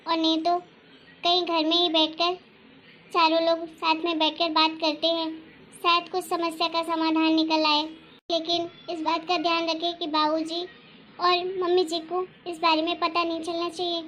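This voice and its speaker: Woman playing gentle, high-pitched dutiful wife. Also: completely flat delivery, normal speed, slight background noise. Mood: concerned, considerate. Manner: soft, reasoning, respectful. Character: gentle, considerate elder daughter-in-law, dutiful wife. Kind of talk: acted dialogue in Hindi family story.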